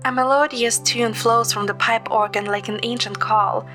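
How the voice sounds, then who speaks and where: A woman's voice: on the high side, steady tone, normal speed, a bit bright. A woman reading scripted first-person story narration. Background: music.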